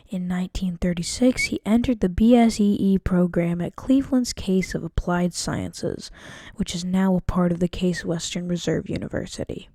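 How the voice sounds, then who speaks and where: A woman's voice: medium pitch, mellow, no echo, studio quality. A woman narrating a student history project, reading carefully from a script with an occasional stumble. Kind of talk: scripted narration read aloud.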